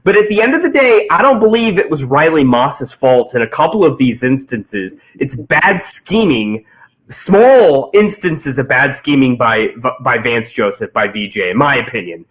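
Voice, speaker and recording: A man's voice: mid-range voice, big pitch swings, normal speed, a bit bright, rough recording. A man hosting a casual post-game sports talk show, giving firm opinions and often restarting his sentences. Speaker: podcast host.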